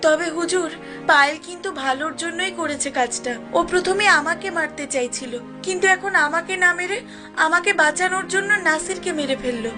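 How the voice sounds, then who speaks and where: A woman's voice: extremely high pitch, fairly quick, over music. A woman voicing a frightened village woman in a cartoon, plaintive and pleading. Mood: worried pleading. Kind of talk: Bengali cartoon voice acting.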